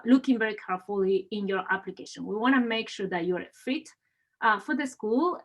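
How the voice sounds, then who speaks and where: A woman from Spain with a Spanish accent, an assistant dean presenting an admissions webinar with many "uh" fillers. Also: on the high side. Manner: frequent "uh" fillers, upbeat and welcoming.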